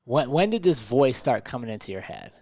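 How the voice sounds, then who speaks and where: A man's voice: mid-range voice, very animated, brisk, a little mellow, no room sound, studio quality. A man talking conversationally as a motivational podcast host and coach, emphatic and fond of rhetorical questions.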